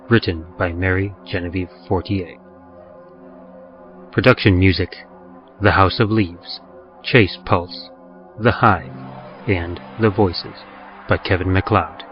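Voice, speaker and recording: Deep-voiced man reading podcast credits. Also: steady tone, measured pace, pauses often, muffled recording, soft background music. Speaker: podcast narrator and producer. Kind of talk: scripted radio drama narration.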